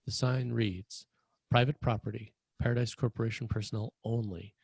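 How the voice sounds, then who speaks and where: A man's voice: medium pitch, steady tone, moderate pace, gravelly, a little mellow, breathy edge, no room sound. A man reading screenplay stage directions as a narrator at a table read.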